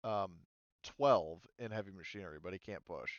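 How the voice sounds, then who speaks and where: A man running an online tabletop roleplaying game as game master, explaining rules casually in a halting way, thinking aloud. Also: sits low, dry recording, muffled recording.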